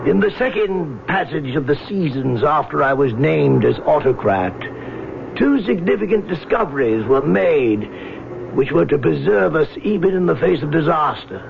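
An actor playing a grave, imperious autocrat of sunken Atlantis in an old radio drama, with stately, formal, declamatory phrasing. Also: male voice, a little high, lively intonation, normal speed, creaky. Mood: solemn. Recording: muffled, noisy old radio broadcast recording.

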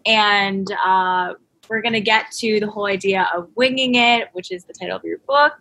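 A woman with an ordinary mid-pitched voice hosts an interview podcast. Her questions wander and are scattered with hesitations, and her introductions sound bright and scripted. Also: steady tone, moderate pace, rough recording. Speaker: podcast host. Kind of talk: interview hosting.

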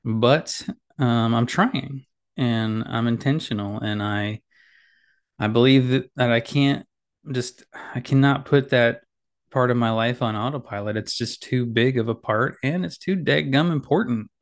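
Rambling man with a gravelly, slightly nasal voice. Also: medium pitch, a little slow. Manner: rambling, self-deprecating, many fillers and restarts. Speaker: podcast host. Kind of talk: casual solo podcast chat. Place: small home office. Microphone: boom mic with headphones.

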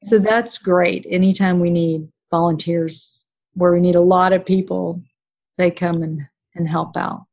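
A woman's voice: on the low side, steady tone, unhurried. A middle-aged woman chatting in an interview, explaining things with examples. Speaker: guest, co-founder parent of a charity. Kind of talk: casual interview talk. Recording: slightly dull lo-fi recording.

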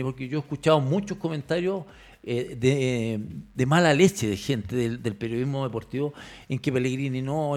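A man's voice: mid-range voice, creaky, dark tone. A former footballer speaks as a panelist on a sports show. He is emphatic and tends to repeat words when he makes his case. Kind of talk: sports talk commentary.

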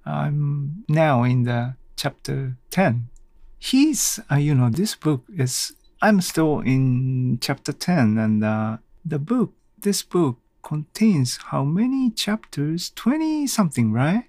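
A man talks casually and alone as a podcast host, taking his time over each phrase. His voice has a creaky, gravelly edge and is recorded dry, with very little room sound. He is hesitant, often searching for the next word. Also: fairly high pitch, animated, darkish tone, dry recording. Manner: halting, hesitant, searching for words. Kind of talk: casual solo audio blog.

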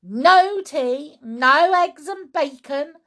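A woman voicing a greedy, bullying old grandmother in a storybook reading, shouting accusations and pitying herself. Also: sits high, lively intonation, very slow, pitched above the performer's own voice, exaggerated voice. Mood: demanding, grumbling.

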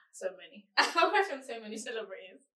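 A woman's voice: mid-range voice, sing-song, fast, bright, forceful tone. A young woman from Kenya chatting casually and teasingly as she reads out challenge questions. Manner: playful, teasing. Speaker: vlogger asking challenge questions. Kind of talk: casual question-and-answer chat. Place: echoey room. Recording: echoey room.

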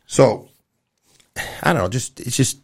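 A man's voice: medium pitch, expressive, unhurried, slightly rough. A man in his fifties talking candidly and earnestly as a guest in a casual conversational interview. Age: 50s. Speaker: guest, event promoter.

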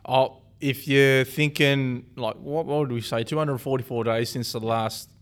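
This is a man hosting a sports podcast. His voice is smooth and clean. He talks casually and loosely, and he often doubles back to correct himself. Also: mid-range voice, measured pace. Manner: relaxed, rambling, self-correcting. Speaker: podcast host.

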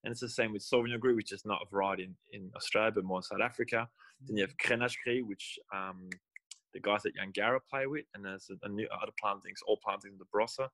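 A man's voice: fairly low pitch, fairly even intonation, fast, bright, forceful tone, no room sound, low-quality recording. A young man, a winemaker talking in a casual interview, rambling and often correcting himself mid-sentence. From Belgium. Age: young adult. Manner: rambling, restarts and self-corrections. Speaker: guest winemaker.

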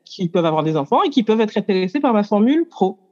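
A woman in her thirties, a coaching client, explaining her situation in a casual, hesitant way with many pauses and restarts. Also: medium pitch, big pitch swings, a little mellow, dull audio. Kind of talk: casual chat. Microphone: handheld mic.

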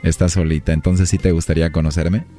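A man with a Mexican accent, smooth-talking and flirtatious, making a prank call for a radio show. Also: low voice, flat delivery, fast talker, warm tone, soft background music. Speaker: radio prank caller posing as a suitor. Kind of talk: flirtatious prank phone chat.

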